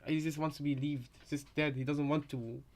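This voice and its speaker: Higher-voiced man, tentative reaction chat. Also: fairly even intonation, brisk, darkish tone, slight background noise. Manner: tentative, repetitive, rambling. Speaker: co-host reacting to game voice lines. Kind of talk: casual reaction commentary.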